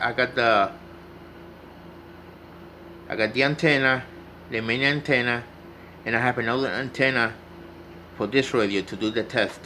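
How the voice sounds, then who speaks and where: A man's voice: mid-range voice, pushed and bright, slight background noise. A man giving a casual, unscripted hands-on tutorial, explaining step by step and repeating himself as he goes. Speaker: hobbyist demonstrating CB radio repair.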